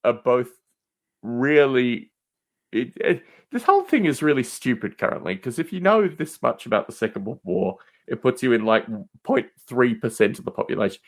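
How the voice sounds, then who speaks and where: A man's voice: medium pitch, big pitch swings, normal speed, vocal fry. A man with a mid-range voice chats as a guest on a podcast. He talks in a loose, digressive way, stressing his points emphatically and slipping into mild exasperation. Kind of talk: casual, rambling podcast banter.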